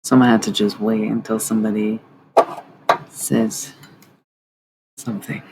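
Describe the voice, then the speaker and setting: Raspy-voiced woman streamer, gravelly. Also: a bass voice, level tone, normal speed, very noisy. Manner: rambling and loose, swears freely, chatting to viewers. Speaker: livestream host. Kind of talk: casual live-stream chat. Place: at home at night, others asleep nearby.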